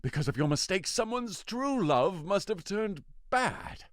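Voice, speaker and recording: A man's voice: high-pitched, sing-song, unhurried, dry recording, clean studio sound. A man playing an imperious, scheming fairy king in an audio drama, with a commanding and dramatic delivery. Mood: exasperated. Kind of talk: theatrical audio drama acting.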